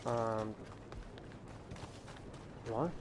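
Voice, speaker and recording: A man's voice: fairly low pitch, drawn-out words, noisy background. A young man hosting a live game stream, chatting casually and speaking straight to his viewers. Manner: laid-back, talks straight to his viewers. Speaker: streamer host.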